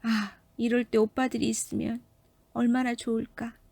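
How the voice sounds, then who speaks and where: A woman voicing a gentle, earnest fifteen-year-old storybook princess with a polite, plaintive manner. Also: fairly high pitch, level tone, moderate pace, no echo, pitched above the performer's own voice. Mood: sad, longing. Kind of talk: storybook character voice.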